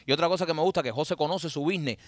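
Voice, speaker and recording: A man's voice: on the high side, racing, dry recording. A man co-hosting a casual chat, teasing and telling stories with animation. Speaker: co-host.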